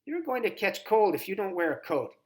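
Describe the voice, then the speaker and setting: A man's voice: sits high, big pitch swings, brisk, pitched above the performer's own voice. A man voicing a stern, nagging old grandmother in a storybook, insistent and scolding.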